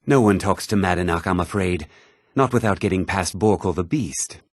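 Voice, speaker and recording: Husky, haughty fantasy townsman. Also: deep voice, normal speed, darkish tone, dry recording, clean studio sound. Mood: grim, confiding. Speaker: video game voice actor. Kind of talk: scripted fantasy game character dialogue.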